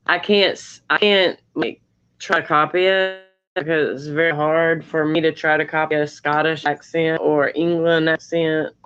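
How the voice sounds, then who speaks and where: A woman's voice: sits low, smooth, lo-fi sound. A woman with a Southern US drawl, chatting casually and laid-back on a live stream. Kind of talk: casual chat.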